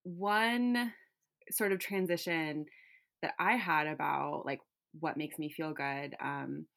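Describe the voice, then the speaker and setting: A woman's voice: deep voice, steady tone, slow pace, gravelly, fairly bright tone. A young woman from California in casual chat, talking with lots of fillers and light laughs. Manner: chatty, many fillers and likes, laughs easily.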